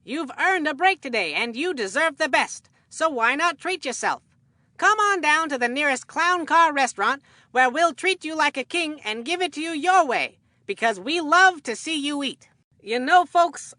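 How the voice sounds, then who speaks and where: A woman voicing an excitable, folksy male baseball commentator in a video game, with a hammy announcer delivery. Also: sits high, no echo. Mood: folksy, salesman-like. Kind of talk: scripted video game sports commentary with puns.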